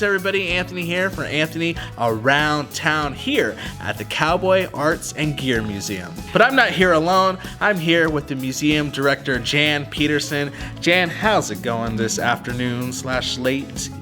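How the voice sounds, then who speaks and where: An American man hosting a local web show, chatty and energetic as he introduces his guest outdoors. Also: on the high side, moderate pace, few pauses, faint music behind. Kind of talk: upbeat interview host chat. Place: outdoors at a local museum, windy.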